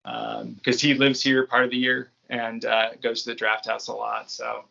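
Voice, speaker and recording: A man's voice: mid-range voice, completely flat delivery, moderate pace, vocal fry, sharp tone, muffled recording. An American man from New England chatting casually as an interview guest, in an easygoing, rambling way. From New England, United States. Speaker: podcast creator, interview guest.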